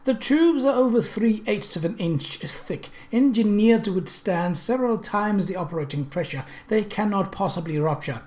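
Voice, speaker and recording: A narrator voicing a disdainful, earnest English gentleman motorist who lectures precisely, in a storybook reading. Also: mid-range voice, lively intonation, normal speed, few pauses, voice pushed up, close to an everyday voice. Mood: mild scorn. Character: tall thin English steam-car driver, disdainful and fervent.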